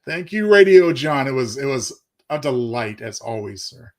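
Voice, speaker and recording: A man with a mid-pitched voice co-hosts a live show. He chats casually, with wordy and courtly phrasing. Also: big pitch swings, moderate pace. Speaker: radio stream co-host.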